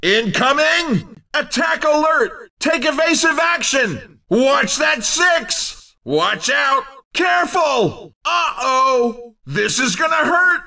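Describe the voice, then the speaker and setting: An excitable arcade racing announcer, pitched extremely high and swinging widely in tone. He is cheeky and punchy, firing off playful exclamations at a measured pace as a video game race commentator. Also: shouting, far from the mic, exaggerated voice. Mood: urgent warning.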